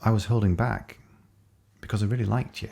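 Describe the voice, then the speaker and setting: An actor playing a regretful, self-doubting boyfriend in an intimate audio drama, speaking haltingly and apologetically. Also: male voice, steady tone, mellow. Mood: remorseful. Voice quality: low gravelly voice. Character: Simon, regretful, self-doubting boyfriend near the world's end.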